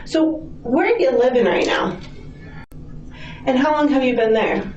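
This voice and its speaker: Echoey, high-voiced American woman questioning gently. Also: a little slow. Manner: sympathetic, frequent checking questions. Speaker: police detective. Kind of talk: police interview questioning. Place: police interview room. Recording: distant echoey mic, dull audio, faint noise.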